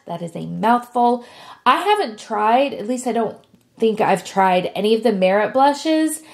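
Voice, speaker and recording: A woman, sick and sniffly, chatting casually to camera as a beauty vlogger. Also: on the high side. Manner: chatty and friendly, with frequent fillers.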